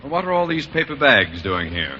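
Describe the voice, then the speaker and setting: Pompous, bright-voiced school official. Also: male voice, medium pitch, very animated, normal speed. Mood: brisk. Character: formal, authoritative older school superior. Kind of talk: 1940s-1950s American radio sitcom acting. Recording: muffled old radio broadcast, faint noise.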